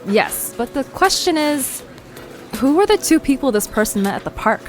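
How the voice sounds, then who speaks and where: A woman playing a bold, nosy young amateur journalist in an audio drama, with a brisk, confident, playful delivery. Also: high-pitched, big pitch swings, soft background music. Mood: smug. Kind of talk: conversational audio drama acting.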